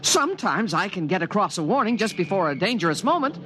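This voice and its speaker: An actor plays an eager, protective invisible alien from a fifties radio play. The speech is formal, wordy and precise, swinging widely in pitch, with faint noise from an old broadcast recording. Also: big pitch swings, fast talker, lightly acted. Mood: earnest, pleading. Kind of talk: 1950s radio drama acting. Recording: noisy vintage 1950s radio recording.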